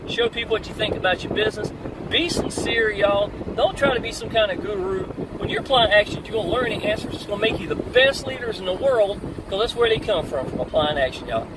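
A middle-aged man delivers an energetic motivational pep talk, his pitch swinging widely as he preaches. He records on a phone held out in an open-top car. The voice sits back from the mic, with steady wind and traffic noise underneath. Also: distant mic. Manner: energetic, preachy, repeats "y'all". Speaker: online marketing coach. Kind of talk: motivational vlog while driving. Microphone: phone camera at arm's length.

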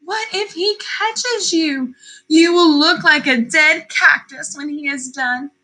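A woman puts on a fretful mother goat from a storybook who pleads with her kids and warns them of danger. Her voice is high and bright, and her tone is anxious throughout. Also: takes it slowly, full character voice, low-quality recording. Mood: worried.

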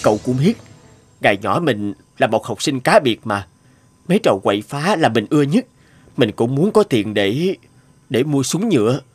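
A man's voice: medium pitch, very animated, normal speed, husky. An actor playing an earnest, hesitant grown man in a cartoon dub. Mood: remorseful. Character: earnest, hesitant adult man, former unruly schoolboy. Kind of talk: cartoon dubbing.

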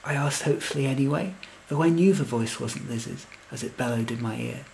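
A man's voice: mid-range voice, fairly quick, creaky, a little mellow, a little hiss or hum, natural voice, rough recording. A man playing a lovelorn, anxious, wry fellow who tells his own comic story in earnest, wordy scripted lines. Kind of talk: scripted comic first-person narration and verse.

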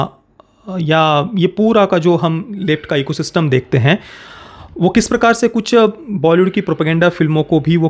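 A man in his thirties with an Indian accent gives opinionated news commentary to camera, emphatically repeating and rephrasing his points. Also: on the high side. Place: small indoor home studio. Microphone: close desk microphone.

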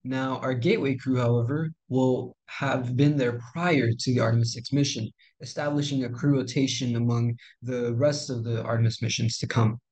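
A man's voice: mid-range voice, steady tone, moderate pace, hardly any pauses, darkish tone, rough recording. A teenage boy from Texas reading a formal school presentation over a video call. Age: teens. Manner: formal, reads from a script. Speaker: student presenter.

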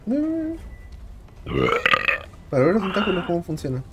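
A man's voice: high voice, big pitch swings, normal speed, very noisy. A man chatting casually with friends while playing a video game, swearing lightly and repeating his words. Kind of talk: casual gaming chat with friends.

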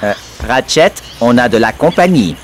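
Male actor as a small formal robot, calm and precise, over music. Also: mid-range voice, normal speed, natural voice. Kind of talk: French video game dub.

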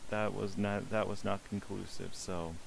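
Low-voiced young man chatting through a headset. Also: flat delivery, moderate pace, gravelly, slight background noise. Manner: chatty, politely pestering strangers. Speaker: streamer exploring a social VR game. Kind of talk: casual gaming commentary.

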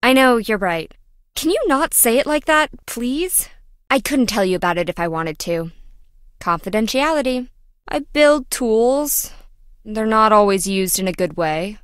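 An actress playing a quirky, excitable young lab engineer in a video game, chatty, blunt and wry. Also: female voice, a little high, unhurried, clean tone, dry recording, studio quality. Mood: earnest. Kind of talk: video game character voice acting.

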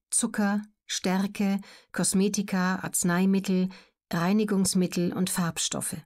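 A woman reads scripted educational narration as an audiobook narrator, measured, factual and clearly articulated. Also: mid-range voice, darkish tone.

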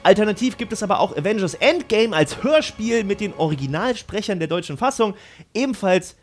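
A man hosting a video, delivering energetic scripted commentary and addressing viewers directly and enthusiastically. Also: high-pitched, a little fast. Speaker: YouTube host.

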